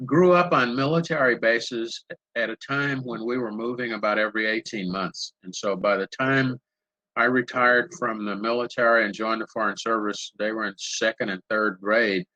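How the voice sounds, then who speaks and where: An older man moderating a panel, speaking in an unhurried anecdotal way and repeating words as he searches for them, over a video call. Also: medium pitch, pushed and bright, no room sound, rough recording. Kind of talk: conversational panel answer.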